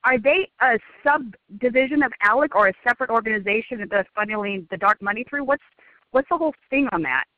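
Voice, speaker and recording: A woman's voice: on the high side, brisk, dry recording. A woman calling in to a conference call, speaking casually and emphatically over the phone. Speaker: caller asking a question. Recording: tinny, narrow phone line.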